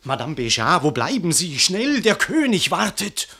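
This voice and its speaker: An actor playing a harried, bossy theatre stage manager in a German radio drama, urgent and commanding. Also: male voice, on the high side, big pitch swings, moderate pace, vocal fry, pushed and bright, breathy edge, heavily acted.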